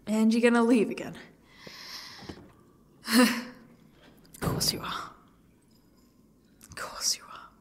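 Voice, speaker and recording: A woman playing a defiant, broken, exhausted young captive in an ASMR roleplay, speaking haltingly and often dropping to a whisper. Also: fairly high pitch, little pitch movement. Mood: weary, despairing.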